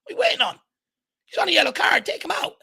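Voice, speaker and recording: A hoarse Irish man hosting a fan watchalong, giving excited live commentary and urging the players on. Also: extremely high pitch, very fast. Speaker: fan channel host. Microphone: home desk mic.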